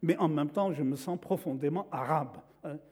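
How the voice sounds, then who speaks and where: A man from northeastern Syria whose first language is Syriac lays out his points in a measured, explanatory way. His voice sits a little high but sounds mellow and warm. He often repeats a word before moving on. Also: husky. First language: Syriac (Aramaic). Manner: measured, explanatory, repeats words while searching. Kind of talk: lecture-like talk.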